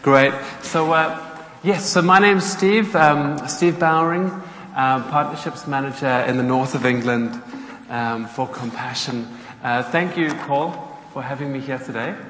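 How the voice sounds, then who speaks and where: A man's voice: medium pitch, a little slow, fairly bright tone, slight background noise. South African man in his thirties, friendly guest preacher, roomy church sound. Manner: chatty, engages the audience with questions. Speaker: guest speaker from a charity. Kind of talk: church talk to a congregation. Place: church hall. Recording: echoey hall, faint ambience.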